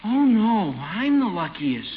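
An actor playing an earnest, lovestruck young bridegroom, polite and corny, in a radio sitcom. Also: male voice, high voice, sing-song, slow, full character voice. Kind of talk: 1950s radio sitcom acting. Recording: muffled 1950 radio recording, faint noise.

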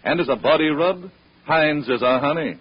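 A man's voice: fairly high pitch, expressive, moderate pace. An American man reading an old-time radio advertisement as announcer, persuasive and carefully enunciated. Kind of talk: 1930s-40s radio advertisement read. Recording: muffled, husky-sounding old radio recording.

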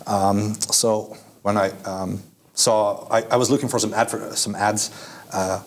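A young man whose first language is Dutch, talking with a somewhat low voice. He answers casually, with lots of filler words and sentences he starts over. He sounds easygoing and unhurried. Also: steady tone. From Netherlands. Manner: hesitant, many fillers and restarts. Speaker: guest.

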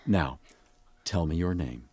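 An actor playing an insistent, deadpan, absurdly serious interrogator in a comic audio drama. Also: male voice, low-pitched, slow pace, breathy edge, dry recording, full character voice. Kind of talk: comedic audio drama acting.

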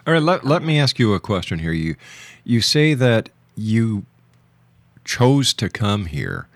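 A man with a fairly low voice hosts a radio interview in a dry, close sound. He puts probing questions deliberately, breaking them into short phrases. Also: sing-song, dry recording. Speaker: radio show host, interviewer.

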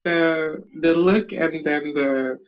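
A fairly high-voiced man chats loosely about his travels, laughing as he goes and mimicking the people he met. The audio comes through a lo-fi video call and sounds dull and muffled. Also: takes it slowly. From Netherlands. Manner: animated storyteller, laughs often, acts out exchanges. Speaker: podcast guest. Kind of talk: casual chat over video call.

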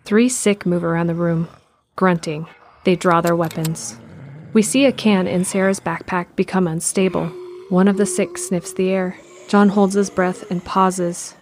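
A woman giving steady scripted narration of an audio drama in the present tense. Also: on the low side, a little hiss or hum.

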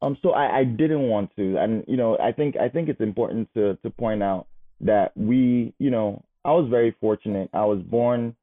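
A man in his thirties, a guest telling his life story in a casual, animated way. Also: mid-range voice, normal speed. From Nigeria. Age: 30s. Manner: animated, repeats words as he goes. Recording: phone-like narrow sound.

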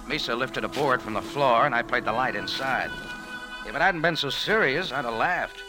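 A man's voice: mid-range voice, moderate pace, natural voice, rough recording. An American man acting a tough, wry bar owner turned amateur sleuth in clipped 1940s radio drama style. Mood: grim, wry. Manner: wry, clipped, hard-boiled. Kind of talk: 1940s radio drama acting, hard-boiled first-person narration. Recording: old 1940s radio recording with music.

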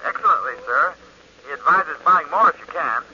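A bright brisk office clerk. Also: male voice, a little high, expressive, slightly rough, exaggerated voice. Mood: businesslike. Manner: brisk, polite. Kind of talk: 1940s radio acting. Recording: dull hissy old radio broadcast.

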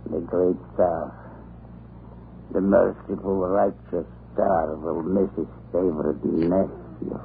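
A deeply creaking old prisoner from a 1930s Russian-set radio drama, sullen and brooding. He speaks slowly in terse, halting phrases that he repeats. The old recording is narrow and noisy. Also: steady tone, full character voice. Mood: ominous. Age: older. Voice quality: gravelly. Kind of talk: 1930s radio horror acting.

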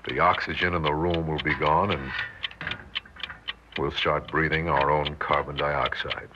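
An actor playing an earnest, weary, protective father in 1960s radio drama, pleading and reasoning in halting phrases. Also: extremely low pitch, steady tone. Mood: grim, resigned. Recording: noisy, muffled old radio recording.

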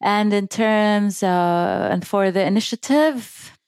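A woman speaks as a guest on a talk show, her voice at an everyday middle pitch. She is earnest and cheerful, and the sound is dry and close. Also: takes it slowly, a little mellow, clear, resonant tone, no echo. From Kuwait. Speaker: guest, mental health advocate. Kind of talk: casual interview chat.

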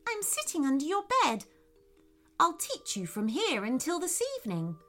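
Tiny wise firefly, calm and relaxed, pitched up high, storybook critter, woman voicing. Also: moderate pace, faint music behind, exaggerated voice.

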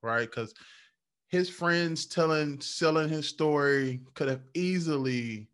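A man's voice: a little high, slow, fairly bright tone. A man co-hosting a podcast, speaking reflectively in casual chat and often checking in with his listener. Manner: reflective, many "um"s and "right?" check-ins. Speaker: podcast co-host.

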